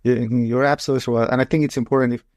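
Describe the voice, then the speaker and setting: A man hosts the conversation, friendly and a little hesitant, often restarting his sentences. His voice is mid-pitched and dry, recorded close with no room echo. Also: fairly even intonation, very fast, a little mellow. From Iran. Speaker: podcast host. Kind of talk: interview conversation.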